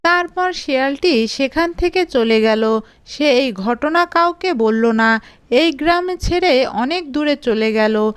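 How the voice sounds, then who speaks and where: A woman's voice: sits high, expressive, takes it slowly, no room sound. A woman reading a scripted children's fairy tale as the narrator of a cartoon story.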